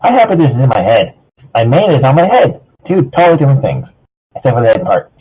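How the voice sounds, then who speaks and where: A man's voice: medium pitch, sing-song, quick pace, slightly rough. A man voicing a cheerful, chatty young female cartoon pony in a bubbly, insistent manner. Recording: thin phone-like voice chat.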